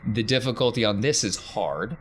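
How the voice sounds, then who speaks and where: Low-voiced man running a tabletop game. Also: animated, normal speed. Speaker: game master. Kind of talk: narrating and refereeing a tabletop role-playing game. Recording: faint background noise.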